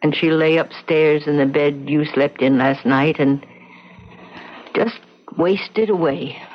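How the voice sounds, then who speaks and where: Grieving housekeeper on lo-fi radio. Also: female voice, low voice. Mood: sorrowful. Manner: halting, sorrowful storytelling. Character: grieving female housekeeper, haunted and solemn. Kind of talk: old-time radio drama acting. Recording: dull lo-fi radio recording.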